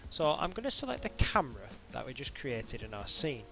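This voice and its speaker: A man narrating an informal software tutorial in a hesitant, halting way, as if thinking aloud. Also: medium pitch, huge pitch swings, creaky. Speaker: tutorial instructor.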